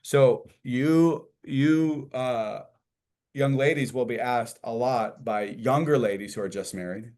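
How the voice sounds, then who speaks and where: A man's voice: medium pitch, slow, darkish tone. A man teaching an informal church class, talking in a folksy, conversational way and acting out little quotes and images as he goes.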